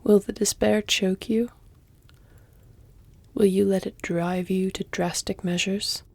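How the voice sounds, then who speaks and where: Woman acting a centuries-old female vampire, audio roleplay, dark mellow tone, very close dry sound, measured, teasing, breathy near-whispers. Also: medium pitch, steady tone, no room sound. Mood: grave, warning. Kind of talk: intimate audio roleplay, scripted dramatic acting. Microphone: close, dry studio-style mic.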